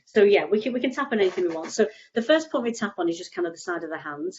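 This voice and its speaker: A woman's voice: medium pitch, very animated, very fast, lo-fi sound, a little hiss or hum. A woman with a cold and a stuffy nose, explaining tapping casually on a video call, rambling with frequent restarts. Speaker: EFT tapping practitioner, guest.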